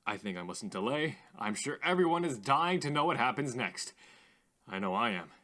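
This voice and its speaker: A man's voice: mid-range voice, big pitch swings, moderate pace, pushed and bright. Theatrical radio host, played by a man, swooping delivery. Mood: intrigued. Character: polished, eager male radio host presenting mysterious tapes. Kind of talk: scripted radio show presenting.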